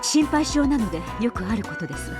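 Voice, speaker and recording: Very fast-talking, guarded proper lady. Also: a little high, sing-song, over music. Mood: flustered. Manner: formal, defensive, guarded. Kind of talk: Japanese visual novel voice acting.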